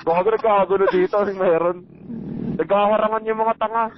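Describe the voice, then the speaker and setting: A man's voice: high voice, fairly even intonation, fairly quick. A man with a Filipino accent calling out and joking casually over a helmet intercom, exclamatory, repetitive and full of swearing. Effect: helmet intercom radio. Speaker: motorcycle rider in a riding vlog. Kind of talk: casual banter and riding call-outs over intercom. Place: outdoors on a moving motorcycle. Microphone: helmet intercom microphone. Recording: tinny, noisy helmet intercom link. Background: engine and road noise.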